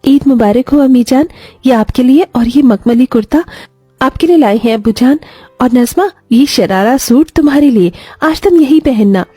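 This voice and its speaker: A woman's voice: high voice, animated, normal speed, dark tone, breathy edge, dry recording, faint music behind, pitched above the performer's own voice. A woman voicing a kind, devoted young daughter-in-law in a moral story, speaking earnestly, respectfully and with feeling. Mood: warm, caring.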